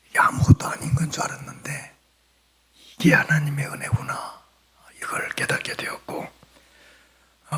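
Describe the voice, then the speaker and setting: A male missionary preacher who has lost his voice to a throat cold, giving an earnest sermon in a hoarse whisper and clearing his throat now and then. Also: a little high, bright, forceful tone. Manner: apologetic, earnest, plain storytelling.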